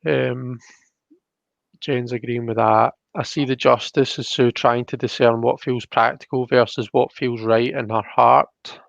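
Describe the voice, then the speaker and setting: A man's voice: medium pitch, fairly even intonation, measured pace, vocal fry, dry recording, lo-fi sound. A man hosting a casual tarot reading, explaining the cards in a hesitant, rambling way.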